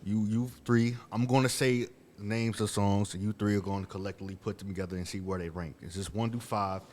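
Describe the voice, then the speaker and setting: A man's voice: lowish voice, fairly even intonation, a little fast, a little mellow. A man hosting a casual podcast chat, laid-back and leading the talk, with plenty of fillers. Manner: laid-back, leads the conversation with lots of fillers. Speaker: podcast host.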